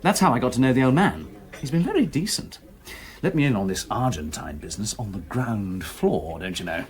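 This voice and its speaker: A man's voice: medium pitch, very animated, fairly quick, soft background music, lightly acted. An actor playing a jovial, idle, slangy club man in a radio drama, with an upper-class English accent. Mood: chatty. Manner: slangy, exclamatory.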